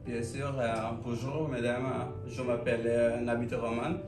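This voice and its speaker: A man from Bangladesh, a French student, telling his story slowly and haltingly. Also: medium pitch, little pitch movement, roomy sound, far from the mic, music in the background. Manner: slow, hesitant learner French. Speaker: language student.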